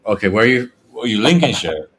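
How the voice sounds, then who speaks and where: A man's voice: lowish voice, animated, quick pace, fairly bright tone. A man from Yorkshire with a Yorkshire accent, co-hosting a casual podcast, chatty and teasing. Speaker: podcast co-host.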